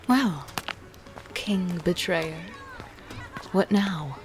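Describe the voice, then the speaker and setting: Woman playing a slow, low-voiced healer. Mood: wry. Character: guarded, quietly confident female healer and former rebel. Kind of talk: dramatic audio drama acting. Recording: music playing behind.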